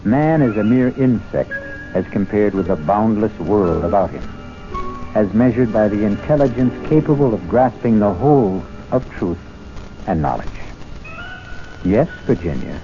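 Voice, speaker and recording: A man hosting a radio mystery drama, reading scripted lines in a measured, declamatory way. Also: fairly low pitch, animated. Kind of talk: scripted theatrical narration. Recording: dull lo-fi old radio with music.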